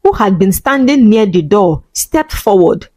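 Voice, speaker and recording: A woman's voice: medium pitch, animated, unhurried, a little mellow, no echo, studio quality. A woman reading scripted, dramatic narration as a storyteller.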